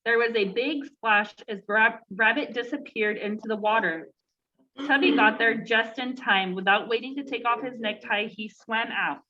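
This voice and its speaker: A woman reading aloud to children in an expressive, engaging way. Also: on the high side, moderate pace. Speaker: storytime reader for children. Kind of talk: read-aloud children's story, then casual chat with children. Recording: dull lo-fi sound, clear background noise.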